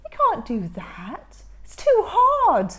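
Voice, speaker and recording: A woman's voice: very high voice, huge pitch swings, slow, a little hiss or hum, pitched above the performer's own voice, heavily acted. Lady doing a mopey little troll guy from a kids story, whiny, dragging along. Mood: gloomy. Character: tiny gloomy male tooth troll. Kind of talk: storybook character voice.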